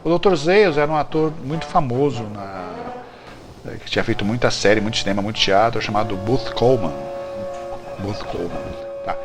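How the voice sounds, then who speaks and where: A man's voice: lowish voice, normal speed. Colloquial Brazilian man over prominent music. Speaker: host. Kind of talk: casual informal chat about old TV series.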